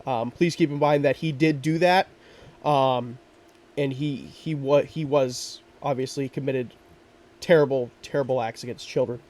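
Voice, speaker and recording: A man's voice: medium pitch, steady tone, unhurried, a little mellow, far from the mic. A man hosting a true crime podcast, sounding congested and stuffy from allergies, talking earnestly with frequent ums and restarts. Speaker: podcast host.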